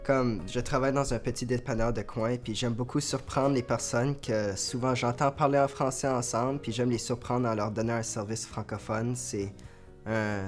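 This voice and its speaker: A young man with a Canadian French accent, a student giving earnest answers in an interview. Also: mid-range voice. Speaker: francophone student. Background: soft guitar music.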